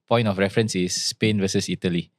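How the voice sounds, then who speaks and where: A man with a Malaysian English accent co-hosting a football chat, making his points in an animated, argumentative way. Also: low-pitched, brisk, no room sound. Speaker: podcast co-host.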